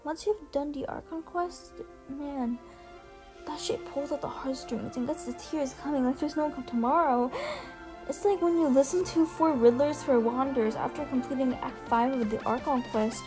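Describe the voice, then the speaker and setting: A woman's voice: sits high, normal speed, low-quality recording. A woman giving casual video commentary as she presents a ranking, gushing and emphatic, with casual swearing. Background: music under the voice.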